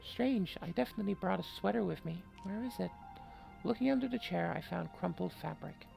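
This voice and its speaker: A gamer voicing a creaky, wry horror-game heroine. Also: mid-range voice, fairly quick, a little mellow, soft background music. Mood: curious, suspicious. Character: Eve, female game protagonist, wry and self-reproaching narrator. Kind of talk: dramatic reading of visual novel narration.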